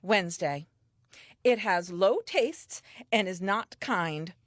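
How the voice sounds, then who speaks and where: An eager, very animated first woman. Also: lowish voice, slow, no room sound, clean studio sound. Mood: curious, delighted. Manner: expressive, earnest, chatty. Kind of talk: comic audio play monologue, diary entries.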